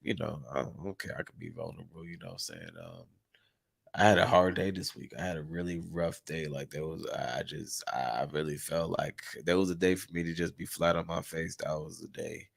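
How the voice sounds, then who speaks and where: A man with a very low, gravelly voice co-hosting a podcast, sounding congested. He talks in a laid-back, candid way, swearing freely as he chats. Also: brisk. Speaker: podcast co-host. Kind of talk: casual podcast chat.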